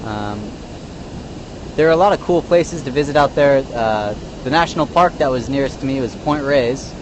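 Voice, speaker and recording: A man park ranger from Northern California explains in a relaxed, easygoing way, his voice at a middle pitch. He is recorded outdoors on a phone, and steady noise runs behind him. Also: moderate pace. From North Bay of California. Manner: laid-back, explaining with lots of you know. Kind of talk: casual live-stream explanation. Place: outdoor elevated viewing platform by a river. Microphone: phone live-stream.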